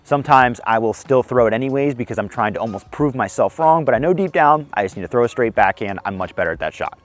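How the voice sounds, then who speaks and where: Young male vlog host, a touch hoarse, easygoing and self-deprecating, recorded outdoors with light background music. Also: medium pitch, lively intonation, quick pace. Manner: friendly, self-deprecating, explanatory. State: slightly hoarse. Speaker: disc golf video host. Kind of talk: casual tips vlog filmed on the course. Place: outdoors on a foggy disc golf course.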